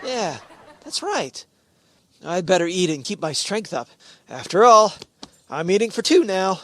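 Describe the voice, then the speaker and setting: A woman playing a naive, excitable husband in an old-time radio sitcom, earnest and easily astonished. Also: on the low side, a little slow, background noise. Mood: dazed. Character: naive, excitable young husband, dazed expectant father.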